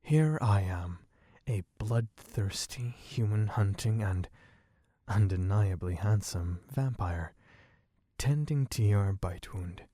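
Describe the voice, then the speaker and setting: A man voicing a suave, possessive, bloodthirsty vampire in a low, husky, teasing voice for an ASMR roleplay. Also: lively intonation, dark tone, dry recording, clean studio sound. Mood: smug, mock-tender. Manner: slow, teasing, theatrically genteel, purring endearments.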